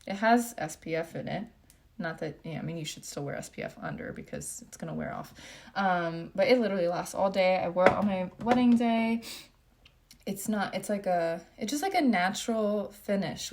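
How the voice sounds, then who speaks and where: A young woman from Japan chatting casually through a livestream Q&A, reading comments aloud while doing her makeup, relaxed and full of fillers. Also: on the low side. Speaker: beauty vlogger.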